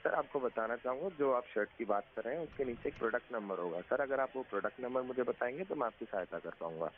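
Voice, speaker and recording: A man's voice: mid-range voice, fast. An Indian man working as a customer service agent, speaking politely, patiently and deferentially on a call. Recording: thin phone line, clear static and clicks.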